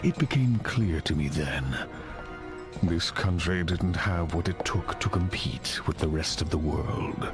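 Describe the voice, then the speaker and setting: Deep-voiced, reflective older statesman. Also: measured pace. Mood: grave, reflective. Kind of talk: video game cutscene acting. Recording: music under the voice.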